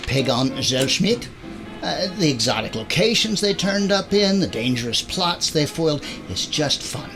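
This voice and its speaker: A man hosting an audio drama introduction in a chatty, playful, wry conversational style. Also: fairly high pitch.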